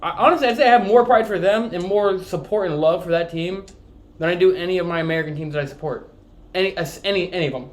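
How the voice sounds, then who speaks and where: A young man co-hosting a casual soccer podcast, animated and swearing freely, often restarting his sentences. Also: high voice, fast talker, echoey room. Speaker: podcast co-host. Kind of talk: casual sports chat.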